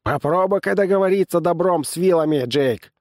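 A man's voice: sits high, brisk, darkish tone, no room sound, clean studio sound, voice pushed up. A man voicing an indignant, protesting male farmer in an audiobook story. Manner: huffy, protesting. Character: puffing, indignant male farmer defending himself.

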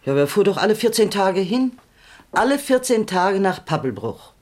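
A woman's voice: medium pitch, big pitch swings, moderate pace, darkish tone, no room sound. An actress playing a chatty, nosy grandmother in a radio crime drama, homely and rambling in manner. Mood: insistent, chatty. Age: older. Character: chatty, nosy older housewife neighbour, a grandmother.

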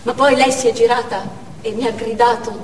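A woman's voice: a little high, steady tone, fairly quick, lightly acted. Casting: actress for widowed Chechen woman, grieving and hollow, intense theatre monologue, heard roomy and far from the mic. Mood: numb, haunted. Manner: intense first-person storytelling in short, broken phrases. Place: theatre stage, distant microphone. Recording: echoey theatre from far off, faint noise.

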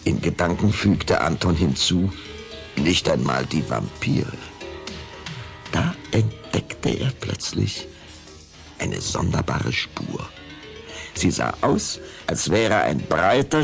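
A man narrating a scripted radio play with suspenseful, deliberate, dramatic storytelling. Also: sits low, big pitch swings, vocal fry, soft background music.

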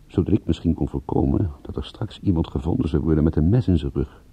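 A man playing a wry, pensive private detective, narrating his story in a measured, musing way in a radio play. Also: very deep voice, dark tone, slightly rough, no echo. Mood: brooding, wry.

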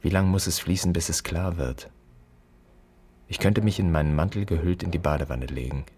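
Casting: embittered son in a radio play, extremely low husky voice, brooding and accusing. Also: male voice, moderate pace, a little mellow. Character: bitter grieving adult son addressing his dead father. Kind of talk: literary radio drama monologue.

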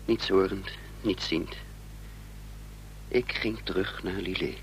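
Deep-voiced, troubled man. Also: vocal fry, exaggerated voice. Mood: grave. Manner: measured, dramatic delivery. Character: troubled man caught up in political intrigue, narrating gravely. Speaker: narrator and lead actor in a radio play. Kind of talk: dramatic radio play acting with first-person narration. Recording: faintly noisy, humming radio play recording.

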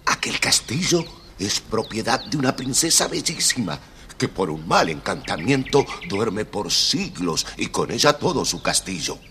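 An actor playing a little old bearded keeper of a magic castle in an audio storybook, pleading and theatrical in his drawn-out delivery. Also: fairly low pitch, sing-song, noisy background. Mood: grave, resigned. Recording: long reverb, faint music behind.

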